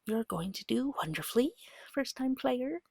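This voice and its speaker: Casting: female ASMR guide, breathy and half-whispered with soft fry, patient and soothing delivery, dry intimate close-mic sound. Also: sits high, lively intonation, dry recording. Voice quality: breathy, often slipping into whisper. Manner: gentle, patient, reassuring, slow and deliberate. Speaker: game master and ASMR host. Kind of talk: soft-spoken ASMR guide to a tabletop game. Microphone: close microphone.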